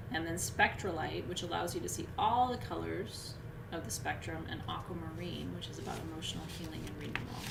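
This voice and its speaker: Hoarse woman, spiritual teacher, chatty live talk with lots of ums, roomy echo, steady background noise. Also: on the low side. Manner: chatty, loose, many "um"s and restarts. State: hoarse, voice wearing out. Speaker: spiritual teacher reading astrology.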